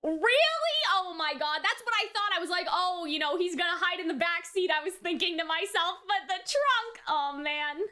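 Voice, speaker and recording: A woman hosting a reaction video, chatting casually and excitably, often exclaiming and peppering her talk with filler words and check-in questions. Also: extremely high pitch, moderate pace, few pauses. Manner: frequent use of "like" and tag questions. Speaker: anime reaction video host.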